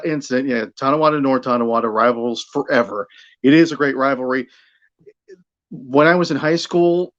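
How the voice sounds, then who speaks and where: A middle-aged American man, a sports broadcaster, telling his career story conversationally in a candid, self-deprecating and halting way. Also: a little high, fairly bright tone, highs cut off. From Buffalo, New York area, United States. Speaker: sports radio play-by-play broadcaster, interview guest.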